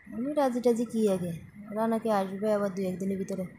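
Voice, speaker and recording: A woman's voice: medium pitch, fairly even intonation, a little fast, mellow. Young woman, noisy phone-call recording. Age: young adult. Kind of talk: casual phone chat.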